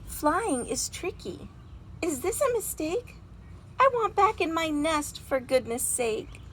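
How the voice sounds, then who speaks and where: A woman plays a little baby robin from a storybook, nervous and excitable. The voice is raised high and swings widely in pitch, with dramatic exclamations. Faint outdoor noise sits behind it. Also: slow pace, exaggerated voice. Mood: nervous, hesitant.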